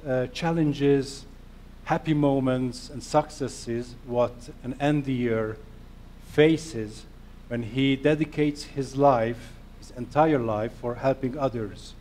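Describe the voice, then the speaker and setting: A middle-aged man from Hungary with a Hungarian accent, giving an earnest, self-deprecating conference presentation in halting non-native phrasing. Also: mid-range voice, a little mellow, a little hiss or hum. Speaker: conference speaker.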